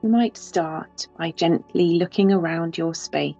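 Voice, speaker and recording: Slow, low, mellow-voiced woman guiding deep rest. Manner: soft, unhurried, with long pauses. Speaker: yoga nidra teacher. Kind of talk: slow guided relaxation. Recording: slightly dull, with soft music behind.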